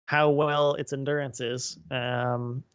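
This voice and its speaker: A man's voice: medium pitch, little pitch movement, slow, a bit bright, clear, resonant tone, dry recording. A man co-hosting a casual show, thinking aloud hesitantly with many fillers. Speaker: podcast co-host. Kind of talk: casual chat.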